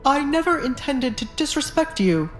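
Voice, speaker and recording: A man playing a cowardly, frightened baron and father in an audio drama, pleading in a tearful, high-strung way. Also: big pitch swings, moderate pace, fairly bright tone, heavily acted. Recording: echoey voice over background music.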